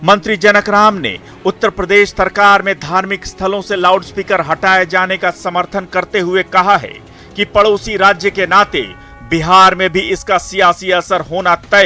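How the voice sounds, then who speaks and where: High, bright male news narrator.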